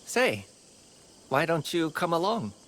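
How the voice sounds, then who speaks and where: A man's voice: a little high, big pitch swings, takes it slowly, a bit bright, slight background noise, heavily acted. An actor playing an earnest young man who protects his villagers, in a dramatic video game.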